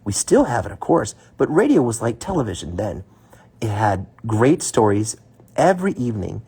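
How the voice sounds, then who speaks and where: Guy doing an old gramps bear for a kids book, reassuring, reminiscing, husky voice. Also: lowish voice, sing-song, moderate pace, warm tone, exaggerated voice. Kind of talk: children's storybook read aloud.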